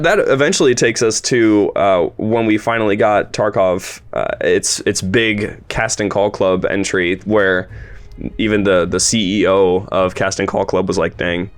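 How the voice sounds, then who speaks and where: Lowish male voice, enthusiastic host, casual interview talk, chatty. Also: steady tone, a little slow, smooth. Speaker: host, voice actor and producer of an audio series. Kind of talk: casual discussion, interviewing a cast member.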